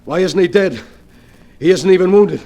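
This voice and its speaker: A man's voice: high-pitched, normal speed, dark tone, a little hiss or hum. An actor playing a ruthless, hunted male crook in a 1940s radio drama, talking in a hard-boiled gangster way. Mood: menacing.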